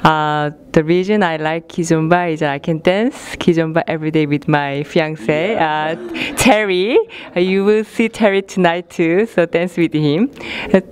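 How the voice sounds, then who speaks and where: A woman from South Korea, the festival organizer, speaks in a somewhat low voice. She is friendly and pauses on small fillers. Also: darkish tone.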